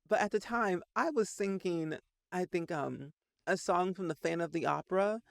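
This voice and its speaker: A man's voice: high voice, moderate pace, vocal fry, dry recording, studio quality. A man in his late twenties telling a personal story in a casual, rambling way, full of "like" fillers. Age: 20s. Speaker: Sims content creator.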